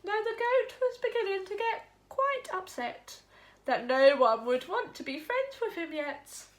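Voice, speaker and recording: A woman's voice: very high voice, lively intonation, measured pace. A woman storyteller reading a rhyming children's story expressively.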